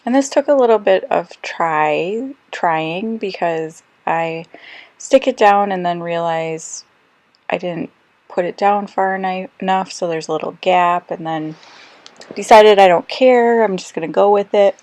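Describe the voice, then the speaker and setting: A woman who is slightly hoarse and losing her voice, chatting casually through a crafting voice-over with lots of ums and little self-corrections. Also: fairly low pitch, unhurried, a little mellow, no echo. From Australia. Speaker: scrapbooking crafter. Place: quiet room, recorded voice-over.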